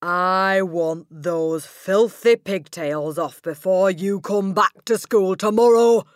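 A woman voicing a huge, brutal headmistress who barks and shouts at children. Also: lowish voice, measured pace, no room sound, studio quality, natural voice. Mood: furious. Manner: shouting, barking.

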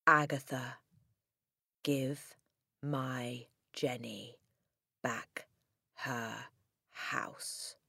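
A woman voicing a menacing ghost of a dead man in a children's storybook, in a hushed, breathy, ominous whisper. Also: a bass voice, steady tone, very slow, long pauses, no room sound, studio quality, pitched below the performer's own voice, full character voice. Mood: eerie.

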